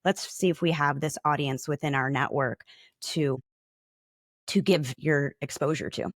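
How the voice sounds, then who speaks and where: A woman's voice: low-pitched, measured pace, no echo, studio quality. A woman speaking as an interview guest, explaining her industry in a conversational way. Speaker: podcast guest, advertising account manager.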